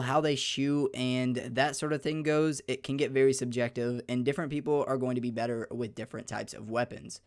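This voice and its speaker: A young man hosting a gaming channel, slightly hoarse, talking through a beginner's game guide in an upbeat, chatty way with many fillers. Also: mid-range voice. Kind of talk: casual gaming guide talk.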